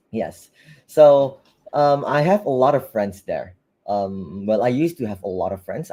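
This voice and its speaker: A man speaks in a mellow, fairly low voice with an English accent that blends Malaysian and Western sounds. He talks casually on a video call, drifting through fillers and restarts as he gives advice. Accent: mixed Malaysian and Western English. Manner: relaxed and chatty, with frequent fillers and restarts. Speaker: host of an English talk webinar.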